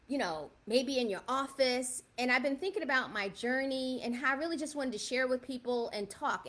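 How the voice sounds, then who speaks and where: Chatty high-voiced woman in her fifties. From South Bronx, New York. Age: 50s. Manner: chatty, keeps asking viewers "right?". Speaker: livestream host. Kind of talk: casual livestream talk to viewers.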